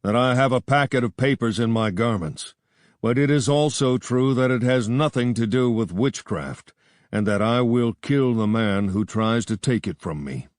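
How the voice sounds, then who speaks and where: A man's voice: mid-range voice, lively intonation, unhurried, no room sound, lightly acted. Man voicing a hardened adventurer, blunt, curt, plain voice, dry, storybook. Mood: cold calm.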